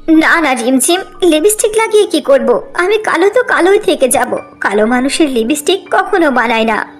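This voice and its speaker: A woman's voice: high voice, animated, normal speed, soft background music. A woman voicing a self-doubting young stepsister in a cartoon fairy tale, protesting plaintively. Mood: dejected, doubtful.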